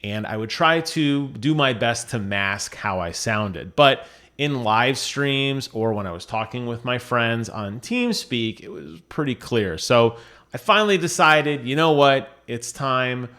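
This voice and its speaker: A man's voice: mid-range voice, very animated, a little slow, sharp tone. A man presenting audio gear in a casual explanatory vlog, talking conversationally with emphatic repetition of key phrases.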